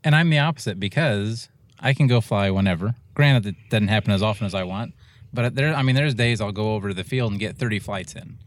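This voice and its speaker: A man's voice: on the low side, brisk. A man co-hosting a podcast, chatting casually and in a laid-back way, often trailing off mid-thought. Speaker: podcast co-host. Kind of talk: casual chat. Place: outdoor flying event.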